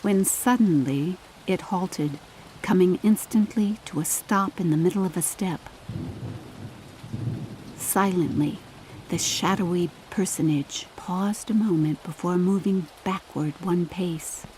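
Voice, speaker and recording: A woman's voice: on the low side, takes it slowly, warm tone, a little hiss or hum. A woman reading a scripted, dramatic story as an audiobook narrator.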